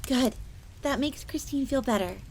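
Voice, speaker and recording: A woman's voice: high-pitched, moderate pace, a little mellow. A woman voicing a young, earnest, secretive fox maid in a scripted fantasy audio roleplay, confiding in the listener. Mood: hesitant, earnest. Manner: speaks of herself in third person, confiding. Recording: crackling fire noise behind voice. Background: crackling fire.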